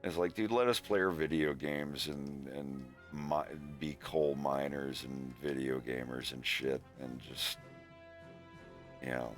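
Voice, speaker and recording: A man's voice: a bass voice, fairly even intonation, slow, faint music behind. An American man streaming from home, rambling in casual chat and peppering his talk with "like", "dude" and swearing. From United States.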